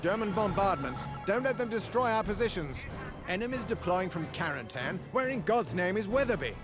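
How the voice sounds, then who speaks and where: A man's voice: sits high, sing-song, normal speed, music in the background. A man playing a seasoned British military officer in a World War II game, clipped and authoritative. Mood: tense, commanding. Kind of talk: video game voice acting, WWII briefing.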